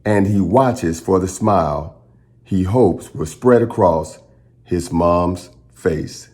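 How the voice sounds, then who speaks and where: A man reading a children's story aloud as a storytime host, with dramatic, pausing phrasing aimed at young listeners. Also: deep voice, a little mellow. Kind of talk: expressive picture-book read-aloud.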